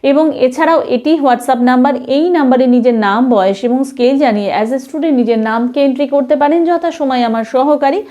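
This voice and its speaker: A woman's voice: sits high, moderate pace, hardly any pauses, mellow. A woman giving an instructional singing lesson to viewers, explaining points in a teacher's manner. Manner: explanatory, with short hesitations and sung demonstrations. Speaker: vocal music teacher.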